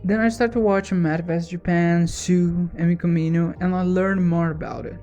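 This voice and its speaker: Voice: mid-range voice, steady tone, moderate pace, dark tone, soft background music. A speaker from Brazil with a Brazilian accent and Portuguese as a first language, narrating a personal vlog from a script as a language learner.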